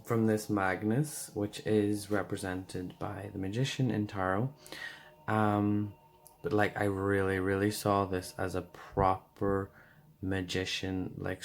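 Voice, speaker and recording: A man giving a casual tarot card reading, hoarse and losing his voice, rambling loosely with lots of fillers. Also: fairly low pitch, slight background noise.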